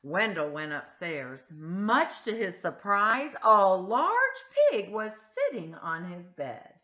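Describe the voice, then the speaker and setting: A woman reading a picture book aloud to children in an expressive, emphatic storytelling style. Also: mid-range voice, highs cut off. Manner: animated, deliberate, emphatic phrasing.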